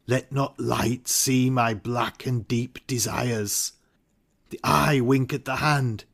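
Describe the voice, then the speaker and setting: A man playing an ambitious warrior nobleman in a dramatic Shakespearean reading, with measured, formal delivery. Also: medium pitch. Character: ambitious nobleman warrior hiding dark desires.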